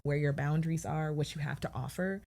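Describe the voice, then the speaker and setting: A woman therapist, speaking in a measured, affirming way on a podcast. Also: sits low, creaky, dark tone. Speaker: therapist guest. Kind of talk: reflective podcast conversation.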